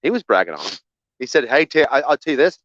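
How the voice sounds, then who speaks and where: A man co-hosting a comedy podcast who mostly chimes in with short agreements and quick stories. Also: medium pitch, sing-song, a bit bright, no echo. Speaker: podcast co-host. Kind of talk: casual comedic banter. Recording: dull lo-fi podcast audio.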